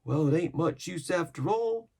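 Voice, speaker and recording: A man voicing an eager young sailor lad, pitched well above his own voice. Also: animated, moderate pace, gravelly, a little mellow.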